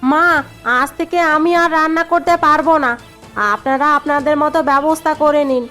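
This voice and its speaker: A woman's voice: high-pitched, fairly even intonation, moderate pace, pushed and bright. A woman voicing a spiteful, blunt young daughter-in-law in a Bengali cartoon. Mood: defiant. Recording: music behind the voice.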